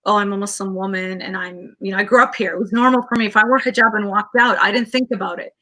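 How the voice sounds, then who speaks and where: A woman with a Canadian accent, a counselor answering interview questions in an earnest, quick storytelling manner with frequent restarts. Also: medium pitch, sharp tone.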